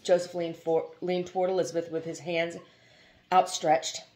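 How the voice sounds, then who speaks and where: Lowish-voiced woman reading literary fiction. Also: little pitch movement, normal speed, a little mellow. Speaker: audiobook reader. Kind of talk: literary narration.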